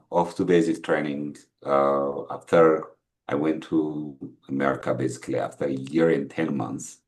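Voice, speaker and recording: A middle-aged man with an Ethiopian accent, talking casually in an interview in a halting, reflective, conversational way. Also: rumbling low, darkish tone. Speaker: podcast guest, entrepreneur. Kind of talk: casual interview, telling life story.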